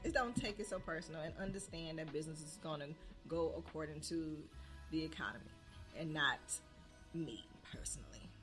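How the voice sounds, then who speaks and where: Woman near forty, low voice, casual podcast chat, faint music underneath. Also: fairly bright tone. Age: 30s. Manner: chatty, laughs often, drawn-out fillers. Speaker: podcast co-host and small business owner.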